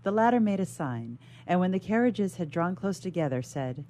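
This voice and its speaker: A woman's voice: fairly low pitch, lively intonation, normal speed, mellow. A woman reading a classic novel aloud as a guest reader on a radio show.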